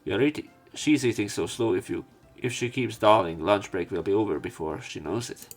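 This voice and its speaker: A man with a fairly low voice reads a visual novel aloud as a let's play. He voices both narration and dialogue lines himself. Game music plays quietly behind him. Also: normal speed.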